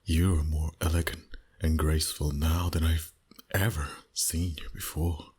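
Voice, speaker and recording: A man playing a suave, dominant, flirty vampire boss in an intimate ASMR roleplay, breathy and often near a whisper, in a teasing manner. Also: very deep voice, exaggerated voice. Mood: adoring, seductive. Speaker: voice actor.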